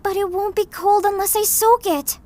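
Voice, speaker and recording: A woman's voice: extremely high pitch, fairly even intonation, moderate pace, a little mellow. A woman voicing an anxious, stubborn young girl in an emotional, earnest manga dub performance. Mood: frantic, pleading. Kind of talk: anime-style manga dub acting.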